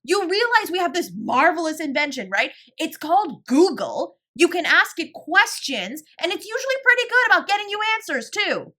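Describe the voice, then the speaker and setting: A woman's voice: extremely high pitch, very animated, normal speed, hardly any pauses, pushed and bright, exaggerated voice. A woman playing a fiercely protective older sibling confronting a parent in an audio drama, scolding and emphatic with a sarcastic edge. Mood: furious, sarcastic. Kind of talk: dramatic audio roleplay acting.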